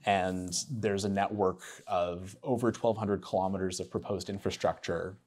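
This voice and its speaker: A man's voice: low voice, fairly even intonation, normal speed, darkish tone. A man giving a talk, laying out budget numbers in a matter-of-fact way. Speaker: speaker on transport funding. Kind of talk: presentation talk.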